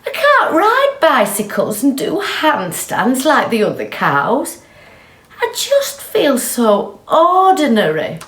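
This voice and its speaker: A woman voicing an excitable, insecure female storybook cow. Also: high voice, slow pace, roomy sound, pitched above the performer's own voice, heavily acted. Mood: downcast.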